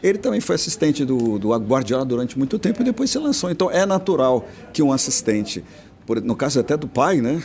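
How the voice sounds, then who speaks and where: A man co-hosts a football panel, talking fast and in a chatty way. His voice is gravelly and dark, and it carries the frequent creak of someone speaking quickly and informally. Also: medium pitch, sing-song. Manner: fast and chatty, with many tag questions. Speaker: sports show co-host. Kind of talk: casual football panel talk.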